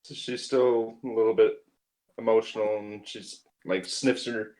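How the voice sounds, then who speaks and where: A man with a fairly low voice runs a tabletop role-playing game. He improvises the narration casually, in a loose and halting flow. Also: steady tone, a little mellow. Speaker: game master.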